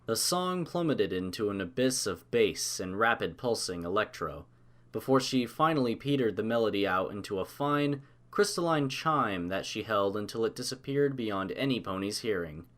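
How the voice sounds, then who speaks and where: Man narrating with very lively pitch. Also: moderate pace. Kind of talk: scripted story narration.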